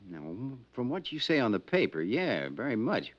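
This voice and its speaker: A man's voice: medium pitch, wildly swooping pitch, normal speed. An American actor playing an anxious, friendly insurance company man, earnest and fretful, in 1950s radio drama style. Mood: worried. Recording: muffled 1950s radio recording.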